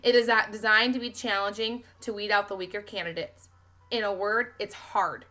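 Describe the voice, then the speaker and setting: Woman acting a measured, formal male schoolteacher. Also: medium pitch, fairly even intonation, pushed and bright, faint music behind, close to an everyday voice. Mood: solemn. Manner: formal, deliberate, dry. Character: measured, proud male schoolteacher with dry authority.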